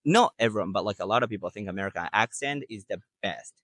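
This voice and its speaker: A man's voice: fairly low pitch, expressive, quick pace, a bit bright, no room sound. A man interviewing strangers in simple, casual English, with many short agreeing hums. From Japan. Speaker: street interviewer.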